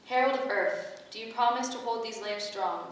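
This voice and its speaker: A woman's voice: a little high, normal speed, natural voice. A woman playing a benevolent, motherly goddess with formal, ceremonial oratory in a staged play reading. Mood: solemn. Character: benevolent motherly female goddess, grand and solemn, gathering her heralds. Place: echoey indoor venue at a staged reading. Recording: very echoey room from far off, faint noise.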